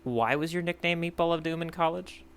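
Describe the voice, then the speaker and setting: Clear, bright male podcast co-host. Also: a little high, brisk. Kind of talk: casual chat reacting to a story.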